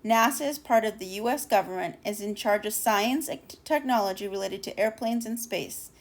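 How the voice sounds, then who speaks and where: A woman reading a children's storybook aloud, expressively, with deliberate dramatic emphasis and the odd stumble over words. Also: medium pitch.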